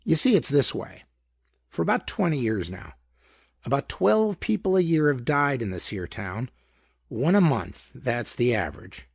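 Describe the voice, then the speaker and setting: A man's voice: mid-range voice, very animated, unhurried, creaky, slightly rough, no room sound, studio quality, exaggerated voice. A man voicing a plain-spoken, worried small-town lawman in a pulp detective story, with slangy, colloquial talk. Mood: hesitant. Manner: colloquial, slangy, hesitant explaining.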